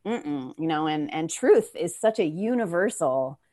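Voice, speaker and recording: A woman's voice: low-pitched, lively intonation, unhurried, mellow. A woman speaking emphatically as a panelist in an informal video discussion, slipping into short acted-out quotes. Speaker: panelist, playwright. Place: indoors, online video call.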